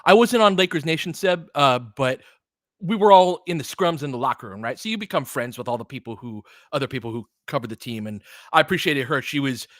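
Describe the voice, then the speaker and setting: A man's voice: mid-range voice, lively intonation, brisk, fairly bright tone, no room sound. A man with a mid-range voice commentates on basketball as a streamer and host. He moves between clipped play-by-play phrases and conversational, slangy chat. The sound is dry, with hardly any room in it. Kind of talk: casual fan commentary, play-by-play mixed with chat.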